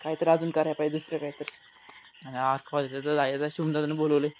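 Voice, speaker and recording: Low-key young man vlogging, dull audio. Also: flat delivery, fast, a little mellow. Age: young adult. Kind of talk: casual vlog chat. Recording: dull lo-fi audio, faint noise.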